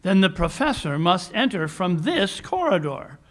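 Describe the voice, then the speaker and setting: An actor playing a coolly confident, analytical English detective with a measured, dry manner, in 1940s radio drama style. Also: male voice, sits high, sing-song. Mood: musing. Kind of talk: 1940s radio drama acting, performed live.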